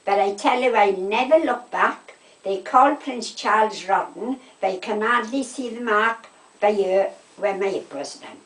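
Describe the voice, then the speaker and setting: A woman's voice: medium pitch, unhurried, a bit bright. A woman in her eighties with a South Wales Valleys accent, chatting and telling anecdotes emphatically, acting out what people said. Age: 80s. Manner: chatty, emphatic, repeats reported conversations with "I said". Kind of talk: casual chat, anecdotal storytelling. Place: indoors at a home. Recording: roomy, distant home recording.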